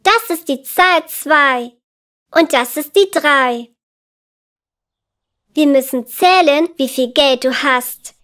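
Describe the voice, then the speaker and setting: A woman voicing a cheerful, helpful little girl in a children's educational cartoon, with clear, simple, instructive phrasing. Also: high voice, takes it slowly, long pauses, fairly bright tone. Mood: friendly, helpful.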